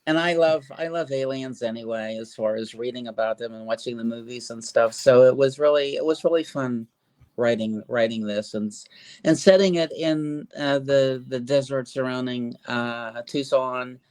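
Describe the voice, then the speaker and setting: A man's voice: medium pitch, normal speed, a little mellow, clear, resonant tone, dry recording. An older man, an author in a casual interview, talking in a rambling, hesitant way with lots of fillers and restarts.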